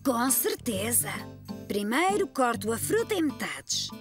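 A woman's voice: fairly high pitch, a little slow, over music. A woman playing a cheerful, encouraging grandmother in a children's cartoon, with a European Portuguese accent. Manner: encouraging, expressive.

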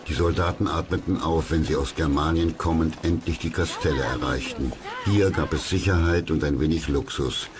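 Very deep-voiced male documentary narrator. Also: moderate pace, few pauses, over music.

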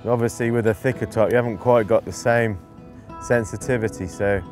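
A middle-aged man giving relaxed fishing instruction outdoors, in unhurried, halting phrases as he works. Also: lowish voice, over music. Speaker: angling instructor, presenter. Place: outdoors on an open lakeside bank.

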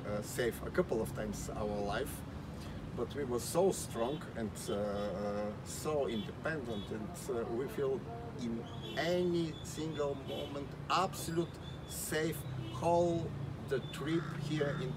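A man in his forties with a Bulgarian accent, a native Bulgarian speaker, giving a hesitant, earnest testimonial full of fillers on an outdoor balcony, with a camera mic a few metres off. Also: medium pitch. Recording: outdoor recording with loud street noise.

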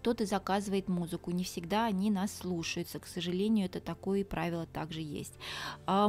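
A woman makeup artist narrating a tutorial in a chatty, instructive way, talking to fellow professionals. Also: mid-range voice. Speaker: makeup artist teaching a lesson. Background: soft background music.

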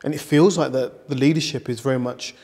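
A man's voice: mid-range voice, steady tone, brisk, darkish tone, breathy edge, long reverb tail. A man hosting a coaching interview, asking encouraging, reflective questions and often rephrasing mid-sentence. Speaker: interview host, director of training. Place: studio set with leather sofas.

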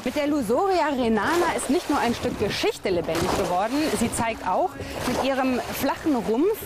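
A woman's voice: fairly high pitch, very animated, normal speed, background noise. A woman presenting a documentary on location as a reporter.

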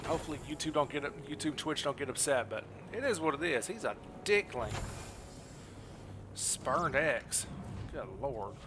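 A man's voice: fairly high pitch, expressive, normal speed, background noise. A man streaming a game with casual live commentary in a playful, teasing manner. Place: indoor room at a streaming setup. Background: game music.

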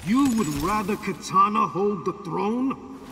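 An actor playing an arrogant, pompous demigod in a video game fight dialogue, talking with disdain. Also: male voice, high-pitched, lively intonation, unhurried, gravelly, background noise, exaggerated voice. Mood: disdainful. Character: haughty male demigod, arrogant and scheming. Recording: cavernous reverb, loud game ambience.

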